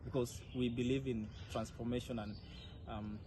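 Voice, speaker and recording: Young kenyan guy getting interviewed, earnest, pauses a lot, clear noise in the background. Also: medium pitch, level tone. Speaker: interviewee, leadership fellow. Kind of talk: informal interview answers.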